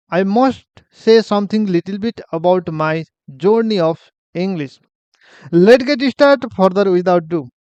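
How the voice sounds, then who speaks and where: Nepali-accented male vlogger in his forties, high smooth voice, unhurried personal storytelling with lots of pauses. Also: a little mellow, no echo, dull audio. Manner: halting, many fillers and restarts, simple phrasing. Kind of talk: personal story, casual monologue.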